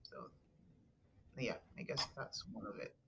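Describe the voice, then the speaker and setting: A woman guest with a deep voice, talking in an earnest, halting way with frequent restarts and soft laughs. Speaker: podcast guest. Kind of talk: conversational interview.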